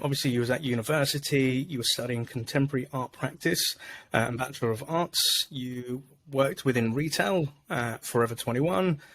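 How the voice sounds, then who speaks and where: A man's voice: mid-range voice, fairly bright tone. Upbeat male podcast host, faint hiss. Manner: upbeat, filler-heavy, lots of "like". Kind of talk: casual video-call interview. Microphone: video call.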